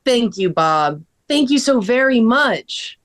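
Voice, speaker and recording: A woman reading the part of a sympathetic, concerned friend in a drawn-out, expressive way at a table read. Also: on the high side. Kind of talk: table read of a scripted story.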